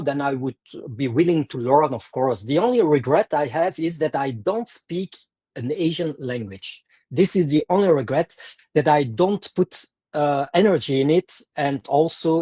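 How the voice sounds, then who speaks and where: A man's voice: on the high side, dark tone, slightly rough, no room sound. A man from Belgium with a Flemish accent, a martial arts instructor speaking in an interview, hesitant and full of fillers and restarts. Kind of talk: conversational interview.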